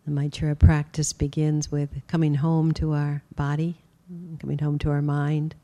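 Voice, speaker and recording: A woman teaching a guided meditation, speaking gently and unhurriedly with long pauses. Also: low-pitched, completely flat delivery.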